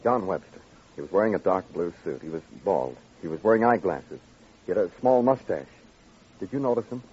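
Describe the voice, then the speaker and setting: Low-voiced, terse police detective. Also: male voice, animated, fairly quick, a little mellow, husky. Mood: patient questioning. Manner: terse, clipped sentences. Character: low-key, world-weary police detective who narrates his cases. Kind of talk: old-time radio crime drama acting and narration. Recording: dull old radio recording, music behind.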